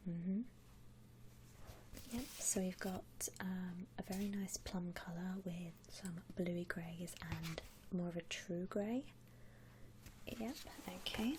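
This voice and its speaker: Slow, whispering ASMR woman. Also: lowish voice, noisy background. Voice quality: breathy, mostly whispered. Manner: soft-spoken, gentle, attentive customer-service patter. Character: polite, attentive female vampire coffin-shop consultant. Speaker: ASMR roleplay performer, playing a shop consultant. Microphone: close ASMR microphone.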